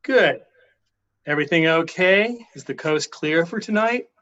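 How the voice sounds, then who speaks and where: A man's voice: on the high side, animated, unhurried, pushed and bright, no echo. A man playing a casual, businesslike hired killer in a radio play, offhand and reassuring, on a dull recording. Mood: calm, businesslike. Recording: dull, lo-fi sound.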